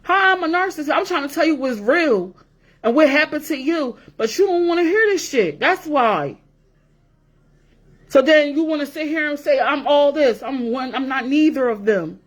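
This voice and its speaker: A woman's voice: high-pitched, lively intonation, normal speed. A woman in her forties talking straight to her viewers in a blunt, profane rant, repeating herself for emphasis. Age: 40s. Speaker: vlogger. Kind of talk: casual rant to camera.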